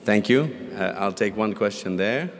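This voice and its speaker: A man's voice: lowish voice, lively intonation, normal speed, slight background noise. A man moderating a conference session, brief and polite, speaking at a podium mic. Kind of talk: moderating questions. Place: large conference hall. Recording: reverberant conference hall.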